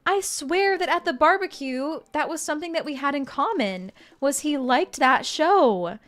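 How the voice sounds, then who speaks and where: A woman's voice: high voice, lively intonation, moderate pace, fairly bright tone. A young woman streaming a game, chatting casually and reading game text aloud in a bubbly way. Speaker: gaming streamer. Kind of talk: casual let's-play commentary.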